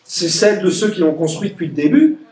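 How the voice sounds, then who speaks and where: A man's voice: high voice, very animated, brisk, darkish tone. Emphatic man speaking in an echoey room. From Egypt. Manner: emphatic, sarcastic, quotes opponents in mock voices. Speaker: political speaker. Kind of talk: public meeting speech. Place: meeting room.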